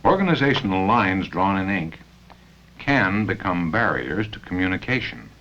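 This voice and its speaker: American man, industrial training film narrator, lowish pitch, lively intonation, deliberate pauses, dull vintage soundtrack. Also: slight background noise.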